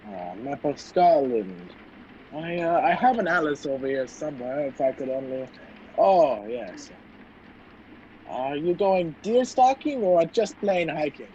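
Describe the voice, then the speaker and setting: Slightly muffled and noisy, a man plays a gentlemanly hero of a radio thriller. He is polite and earnest, with a hesitant, old-fashioned delivery. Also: on the high side, lively intonation, measured pace. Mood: polite, easygoing. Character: gentlemanly male hero caught in a spy intrigue. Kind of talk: old-time radio play acting. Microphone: video call. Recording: dull, noisy video call audio.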